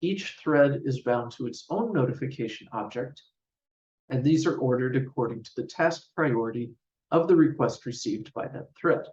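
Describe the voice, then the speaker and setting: A man giving a scripted technical conference presentation in a measured, formal, explanatory way. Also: medium pitch, a little mellow. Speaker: researcher presenting a paper. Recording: roomy, distant mic, dull sound.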